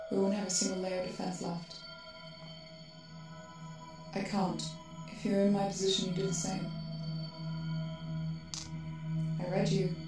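Casting: young woman as serious female space officer, urgent but hushed ASMR delivery, flat intonation, echoey reverberant sound. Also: mid-range voice, lightly acted. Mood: firm, tense. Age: young adult. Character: tough, urgent female spaceship officer tending a wounded crewmate. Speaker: ASMR roleplayer. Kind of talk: ASMR film roleplay, soft-spoken to whispering. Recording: echoey reverberant hall, music behind.

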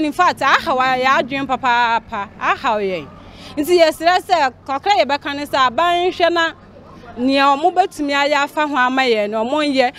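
Young female interviewee, Ghanaian, high-pitched, speaking spontaneously to a reporter's mic. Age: young adult. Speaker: seamstress interviewee. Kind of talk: street interview. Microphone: handheld interview mic.

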